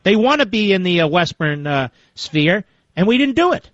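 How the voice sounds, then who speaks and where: Man, talk radio host, high-pitched, sarcastic, dry dull radio sound. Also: sing-song, normal speed, a bit bright, no echo. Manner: sarcastic, reads quotes aloud with mocking asides. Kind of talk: talk radio commentary and interview.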